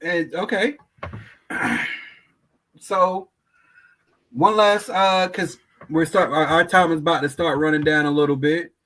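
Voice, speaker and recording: Brief: man in his thirties, candid conversational interviewer, slightly high voice. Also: fairly even intonation, takes it slowly, long pauses, fairly bright tone. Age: 30s. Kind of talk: casual interview.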